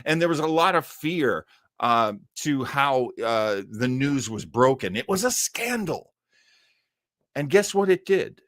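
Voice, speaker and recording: A man's voice: mid-range voice, big pitch swings, unhurried, fairly bright tone, no room sound. Guy in middle age hosting, casual rambly commentary with stop-and-start asides, dry sound. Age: middle-aged. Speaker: show host.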